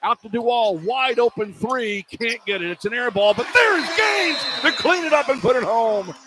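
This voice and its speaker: A man calling live basketball on the radio in clipped running descriptions that rise into excited calls, as a play-by-play announcer. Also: very high voice, normal speed. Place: indoor college basketball arena. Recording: clear arena noise behind the voice.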